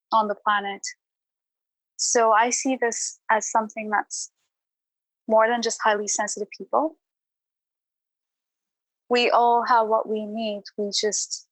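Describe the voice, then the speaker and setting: A woman's voice: medium pitch, no echo, rough recording. A woman speaking as a podcast guest in a reflective, conversational way, earnest and encouraging.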